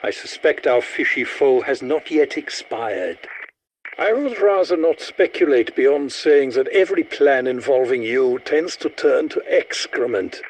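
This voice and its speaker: Animated, fussy old scientist. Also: male voice, a little high, a little hiss or hum, low-quality recording. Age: older. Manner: formal, wordy, dryly sardonic. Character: resentful old German scientist, erudite, fussy, sardonic, excitable. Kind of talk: video game character voice lines.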